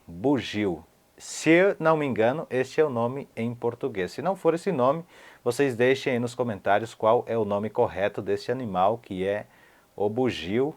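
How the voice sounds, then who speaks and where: A man from Paraguay gives a relaxed online lesson from home. He says each new word slowly, repeats it several times for the learner, then explains it at an easy pace. His voice sits at an ordinary middle pitch. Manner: repeats words slowly to show pronunciation. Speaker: language teacher.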